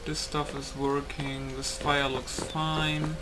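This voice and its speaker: A man's voice: a little high, flat delivery, slow. A man giving casual, rambling playtest commentary on a video game, thinking aloud. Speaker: gaming video maker. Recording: game noise behind the voice. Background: video game ambience.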